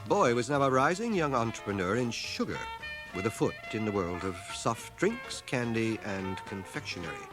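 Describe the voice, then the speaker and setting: A man's voice: mid-range voice, sing-song, normal speed, music in the background, close to an everyday voice. An actor playing a learned schoolmaster and war veteran, narrating his life in a radio drama with dry, literate irony. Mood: wry recollection. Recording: 1980 radio drama cassette transfer.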